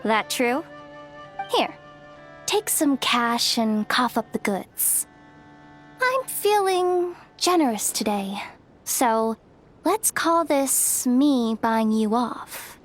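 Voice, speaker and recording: A woman's voice: a little high, animated, very slow, faint music behind. An actress playing a breezy, self-assured, streetwise young woman in a video game, talking in a casual, teasing, slangy way. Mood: cocky, unbothered. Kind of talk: video game character acting.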